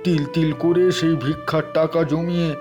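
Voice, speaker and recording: A man's voice: fairly high pitch, flat delivery, normal speed, close to an everyday voice. A man playing a sly, pleading old village man who begs, in a cartoon story. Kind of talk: Bengali cartoon story dialogue. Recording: music behind the voice.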